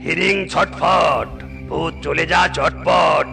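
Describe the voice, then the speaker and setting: A man's voice: fairly high pitch, takes it slowly, gravelly, music in the background, exaggerated voice. A man voicing a blustering comic exorcist in a cartoon, chanting and groaning theatrically. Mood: bombastic chanting. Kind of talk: cartoon voice acting.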